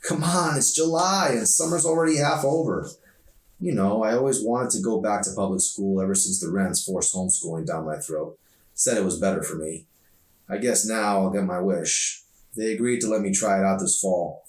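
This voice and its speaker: An opinionated, restless teenage girl read by a man in a low pitch, heard from off the microphone in an echoey room. The delivery is slangy and emphatic, full of sardonic complaint, as in an audiobook. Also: normal speed, darkish tone, natural voice. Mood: eager, griping. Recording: roomy echo, distant microphone.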